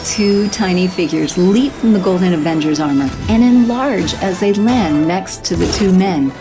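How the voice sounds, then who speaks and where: A woman narrating fast-moving action scenes in a brisk, vivid style. Her voice sits slightly low, with a music score clearly playing underneath.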